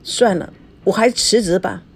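A woman's voice: medium pitch, expressive, a little slow, slightly rough. A woman voicing a young female assistant in an audiobook, hesitant, apologetic and plaintive.

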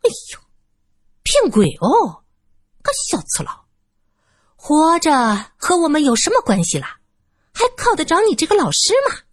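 A woman's voice: mid-range voice, wildly swooping pitch, moderate pace, breathy edge, no echo, studio quality, pitched above the performer's own voice. A woman voicing a greedy old brothel madam who shrieks, wails and sneers. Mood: sneering.

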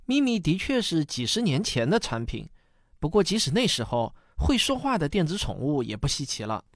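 A man's voice: fairly high pitch, very animated, moderate pace, dry recording. Man acting a patient father, dry sound. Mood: patient, explaining. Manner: patient, explanatory. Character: caring, patient father of a young girl. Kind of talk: radio drama acting.

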